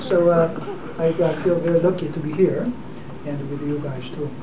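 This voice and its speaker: A man in his forties from South Korea, with a Korean accent, giving an unprepared speech to a club in a halting way, full of fillers and restarts. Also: fairly high pitch, steady tone, a crowd in the background. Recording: distant, echoey, phone-like and noisy.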